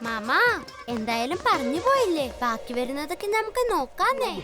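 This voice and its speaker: A woman's voice: high-pitched, sing-song, normal speed. A woman voicing an eager young nephew, a little boy in a children's cartoon. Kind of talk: children's cartoon comedy dubbing. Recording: loud children's music under the voice.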